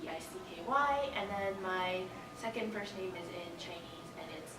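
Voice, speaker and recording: A woman's voice: lowish voice, normal speed, a crowd in the background. A young woman giving casual, thoughtful interview answers with some hesitation in her phrasing. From Canada. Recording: echoey, distant microphone, noisy room.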